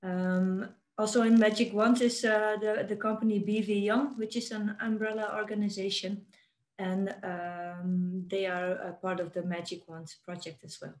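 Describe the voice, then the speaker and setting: A woman from the Netherlands introduces her youth organization. She speaks hesitantly, and her voice trails off quietly at the ends of phrases. The room gives her voice a noticeable echo. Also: mid-range voice, little pitch movement, moderate pace.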